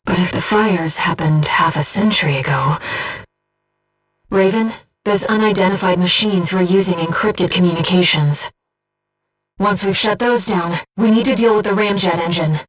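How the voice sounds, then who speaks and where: A woman voicing a disembodied female guide who speaks in a pilot's head as mission operator in a video game, with a soft, breathy voice and a precise, earnest delivery. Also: medium pitch, normal speed, recorded from a distance, faint music behind, natural voice, poor audio. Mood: composed, informative. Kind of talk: scripted video game voice lines, mission briefings and battle callouts.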